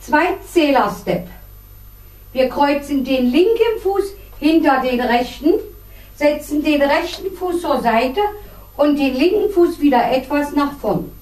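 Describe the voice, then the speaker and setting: A woman teaching line dance step by step, counting out the beats in a measured, deliberate way. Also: sits high, expressive, a little mellow. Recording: roomy echo, distant microphone.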